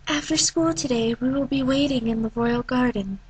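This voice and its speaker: A woman's voice: high-pitched, normal speed, slightly rough, low-quality recording. A woman voicing a composed, polite, reserved schoolgirl for an anime fan dub audition.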